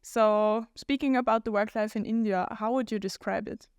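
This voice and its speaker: A woman's voice: mid-range voice, fairly even intonation, normal speed, smooth, dry recording. A woman hosting a podcast, introducing her guest and asking questions in a friendly way. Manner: friendly, laughs often, short backchannels. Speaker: podcast host. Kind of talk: casual interview.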